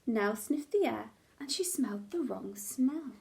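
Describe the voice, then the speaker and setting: A woman teacher reading a children's picture book aloud in an expressive, animated storytelling manner. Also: a little high, measured pace, creaky, slight background noise.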